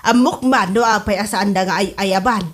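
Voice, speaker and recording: A woman's voice: mid-range voice, moderate pace, lightly acted. A woman playing a gossipy, disapproving older neighbour in a comedy audio drama, with an Ilocano Filipino accent.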